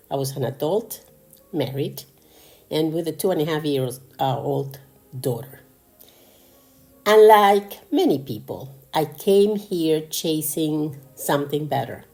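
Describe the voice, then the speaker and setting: Podcast host: woman in her sixties or older, Argentine Spanish accent, very low voice, unhurried delivery. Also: mellow. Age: 60s or older. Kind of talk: personal motivational monologue.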